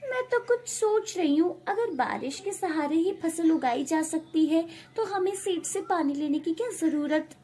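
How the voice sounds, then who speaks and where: A woman voicing a scheming, envious village farm woman gossiping in an animated moral story. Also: very high-pitched, unhurried, hardly any pauses, pitched above the performer's own voice.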